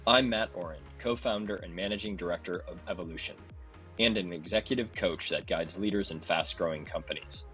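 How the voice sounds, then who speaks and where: Male podcast host, low voice, measured and friendly, faint music underneath. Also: fairly bright tone. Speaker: podcast host and executive coach. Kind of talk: scripted intro then conversational interview.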